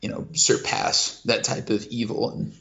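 A man's voice: mid-range voice, flat delivery, measured pace, vocal fry, pushed and bright. A man from Kentucky co-hosting a casual podcast, rambling with many restarts and fillers. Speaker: podcast co-host. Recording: lo-fi muffled audio.

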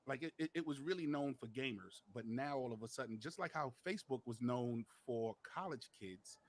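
A man's voice: medium pitch, brisk, very noisy. A man in his late forties, joking and teasing in casual talk. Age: 40s. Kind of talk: casual banter on a podcast.

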